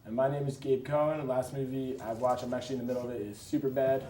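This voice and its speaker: A young man talks fast in casual quiz-show banter as a contestant. He sounds distant from the mic in an echoey room. There is clear noise in the recording. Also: medium pitch, flat delivery.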